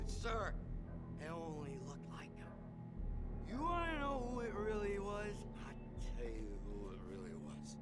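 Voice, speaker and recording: An actor playing an indignant, conspiratorial small-town drunk in a video game, rambling with heavy emphasis. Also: male voice, high voice, exaggerated voice. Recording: loud music behind the voice.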